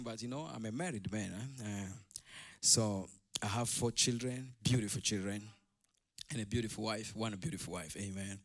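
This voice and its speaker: A middle-aged man from Rwanda, preaching an impassioned sermon as a pastor in an emphatic, repetitive way. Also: fairly low pitch. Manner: emphatic, repetitive, swinging between quiet asides and loud declamation.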